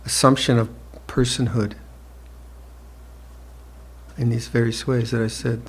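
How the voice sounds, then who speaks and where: A monk in his seventies gives an evening dharma talk, speaking slowly and reflectively with gentle restarts and soft laughter. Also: mid-range voice, flat delivery, husky. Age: 70s. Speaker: monk teacher. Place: retreat hall with listeners.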